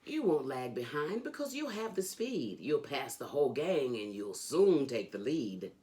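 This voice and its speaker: A woman with a strikingly low voice reads a storybook aloud for children. She takes her time, going slowly through the lines. Her delivery is animated and sing-song, with lively rises and falls in pitch as she hosts the read-along.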